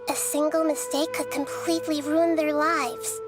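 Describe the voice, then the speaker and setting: A woman voicing a small childlike goddess of wisdom in a video game, gentle and thoughtful, speaking in an earnest, measured way. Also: high voice, level tone, music in the background, close to an everyday voice. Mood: earnest, concerned. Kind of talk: video game character acting.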